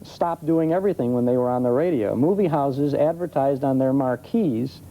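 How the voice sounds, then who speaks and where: A man's voice: medium pitch, normal speed, dark tone, rough recording. A man speaking conversationally as an expert guest, telling stories with enthusiasm on an old television tape. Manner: enthusiastic storytelling. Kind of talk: conversational interview. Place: television studio. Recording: old TV tape, faint noise.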